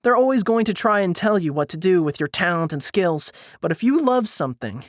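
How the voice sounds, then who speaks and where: A man's voice: sits high, lively intonation, a little fast, no echo, clean studio sound. A man voicing a sassy, pushy young woman in audiobook dialogue, blunt and insistent as she pushes her friend. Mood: forceful, urging.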